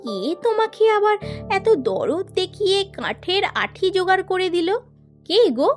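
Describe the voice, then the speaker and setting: Woman voicing a high, nagging cartoon wife. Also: big pitch swings, moderate pace, faint music behind. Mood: curious, prying. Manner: exclaiming, sarcastic, wheedling. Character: greedy, nagging, scheming wife of poor woodcutter. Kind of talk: Bengali children's cartoon voice acting.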